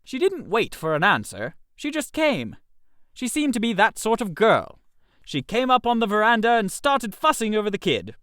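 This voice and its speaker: A man narrating a comic first-person story in a chatty, expressive way, in character as an idle gentleman. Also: high-pitched, moderate pace, no room sound, clean studio sound.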